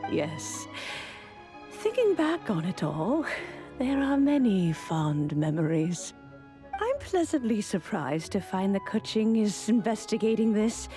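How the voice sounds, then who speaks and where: A woman playing a wise, long-lived female mentor in a video game, speaking in a gentle, measured, formal storytelling way. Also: medium pitch, sing-song. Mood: fond. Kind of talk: video game character acting. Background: music.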